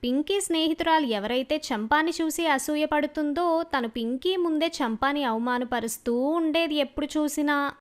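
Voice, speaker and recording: Female narrator for children's stories, high-pitched, scripted delivery. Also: moderate pace.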